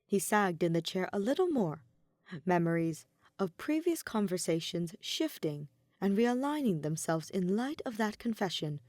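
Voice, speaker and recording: A woman's voice: medium pitch, very animated, moderate pace, a little mellow, dry recording, studio quality. A woman reading fan fiction aloud as scripted story narration.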